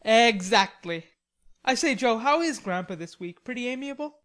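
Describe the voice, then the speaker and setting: A woman plays a cheerful young gentleman from a storybook, pitched up and full of playful theatrics. He teases and exclaims with melodramatic flair. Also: animated, moderate pace, natural voice. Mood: teasing. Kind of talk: audiobook character dialogue.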